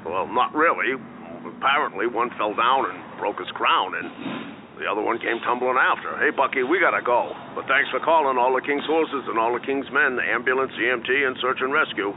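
A man voicing a brisk, deadpan male rescue worker in a comedic audio drama. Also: mid-range voice, natural voice. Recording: phone-like lo-fi with noise.

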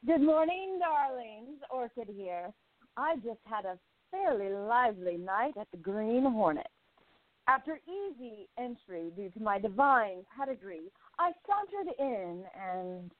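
Woman playing a brash flapper columnist, lively swooping delivery, tinny phone-line sound. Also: a little high, a little slow. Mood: breezy. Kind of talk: amateur radio play acting.

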